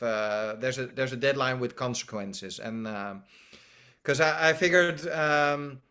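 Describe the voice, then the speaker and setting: Dutch-accented man, podcast guest, loose and halting delivery, middle pitch. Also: a bit bright. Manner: halting, many restarts and trailing phrases. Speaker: podcast guest, music school founder. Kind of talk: casual conversational interview.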